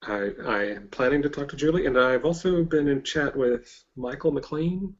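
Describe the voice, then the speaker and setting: A man hosting a casual interview with halting, hesitant phrasing, heard over a dull online call. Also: a little high. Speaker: interviewer, host. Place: online video hangout.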